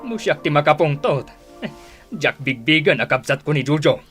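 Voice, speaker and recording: An actor with an Ilocano accent playing a greedy, scheming older brother in a radio drama, mocking and laughing. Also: male voice, a little high, expressive, normal speed, over music, close to an everyday voice. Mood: scornful. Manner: mocking, frequent sneering laughs.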